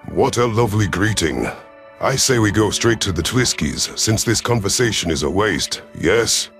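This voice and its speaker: A man voicing an older, cunning reptilian alien chief hunter in a sci-fi audio story, measured and dryly composed. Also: on the low side, lively intonation, a bit bright, faint music behind.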